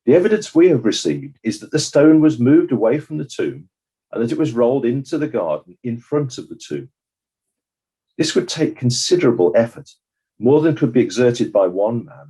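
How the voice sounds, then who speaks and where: A man playing a measured, judicious coroner, delivering a formal, deliberate summing-up in a dramatized courtroom inquiry. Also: mid-range voice, very animated, dark tone, breathy edge, low-quality recording. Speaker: presiding judge of inquiry.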